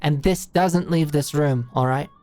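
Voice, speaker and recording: Woman acting a low-voiced, brash older British boss. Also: unhurried, mellow, no room sound, soft background music. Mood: frank, confiding. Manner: brash, slangy, commanding. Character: blunt, shrewd older British female company executive. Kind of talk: scripted audio roleplay monologue.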